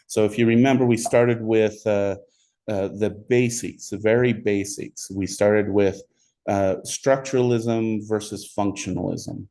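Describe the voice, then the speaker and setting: A man lecturing an introductory psychology class, his voice a bit squeaky today though low pitched. He talks in a loose, rambling way, with fillers and restarts as he works through ideas. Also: fairly even intonation, measured pace, warm tone. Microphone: recorded for online video.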